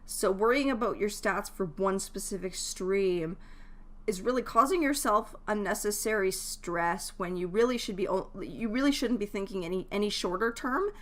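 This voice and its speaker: A woman streamer giving casual advice, thinking aloud and leaning on key words as she goes. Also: mid-range voice.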